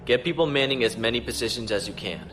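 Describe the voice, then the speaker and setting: An actor playing a steady, courteous starship captain in a science fiction audio drama, crisp and authoritative. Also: male voice, mid-range voice, quick pace, natural voice. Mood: composed, wry. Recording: faint music behind.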